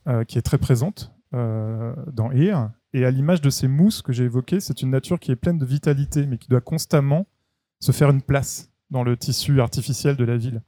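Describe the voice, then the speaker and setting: A man interviewing a filmmaker, asking long, measured questions with filler pauses. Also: mid-range voice, dark tone, dry recording.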